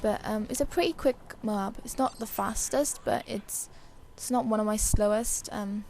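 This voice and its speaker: A woman with a dry, hoarse voice giving casual, chatty and rambling commentary over a video game. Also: medium pitch, normal speed, darkish tone, slight background noise. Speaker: gaming video creator.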